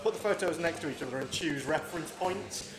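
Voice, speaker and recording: A man talks very quickly and enthusiastically in informal chat. His voice sits in an echoey pub, with a loud crowd all around. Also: a little high. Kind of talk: casual chat. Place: busy pub.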